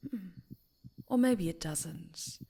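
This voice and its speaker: A woman playing a young female character in an ASMR roleplay audio drama, with an expressive, dramatic delivery. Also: on the low side, slow pace, vocal fry, a little hiss or hum, exaggerated voice. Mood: sinister, gloating. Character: friendly young woman camper turned panicked, secretly sinister stalker.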